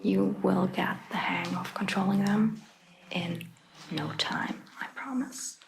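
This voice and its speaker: A woman whispering gently in an ASMR roleplay as a caring vampire doctor, reassuring and a little hesitant. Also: mid-range voice, fairly even intonation, takes it slowly, gravelly, background noise. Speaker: ASMR performer.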